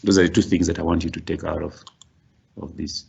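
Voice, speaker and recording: A man's voice: low voice, little pitch movement, brisk, creaky, darkish tone, husky, lo-fi sound. A middle-aged man giving a university workshop lecture, explaining step by step with frequent restarts and sudden rising stresses on key words.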